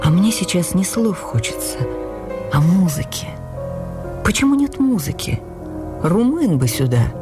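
A woman's voice: lowish voice, very animated, unhurried, pauses often, over music. A woman voicing a wistful, wry, playful middle-aged male writer in an audio drama. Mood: wry, weary. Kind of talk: audio drama memoir reading.

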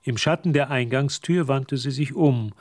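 A man's voice: medium pitch, normal speed, dry recording, natural voice. A man narrating a radio drama as a composed storyteller, reading scripted prose close to the microphone. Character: composed male storyteller of a mystery tale. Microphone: close, dry studio microphone.

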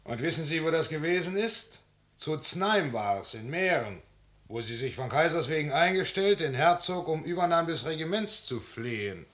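A proud military commander in a classical radio play. His voice is fairly high and bright, with forceful, biting diction. He declaims in verse, blunt and sardonic. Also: expressive, moderate pace. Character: blunt, proud field marshal, sardonic toward courtiers. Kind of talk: 1950s German radio drama verse acting.

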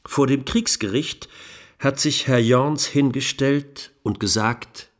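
A man's voice: medium pitch, natural voice. A man playing a grave, indignant 1920s German defence lawyer, pleading with solemn, emphatic rhetoric in a radio documentary. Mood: indignant, insistent. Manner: solemn, rhetorical, emphatic oratory with deliberate pauses. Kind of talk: dramatized courtroom closing speech, radio documentary.

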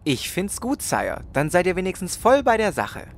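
A man's voice: sits high, wildly swooping pitch, normal speed, a little hiss or hum, heavily acted. Man playing a servile, lilting fantasy squire. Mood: deferential, dry. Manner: polite, servile, dry. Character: deferential, earnest male squire-like adventurer, drily sarcastic. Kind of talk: comedic audio drama acting.

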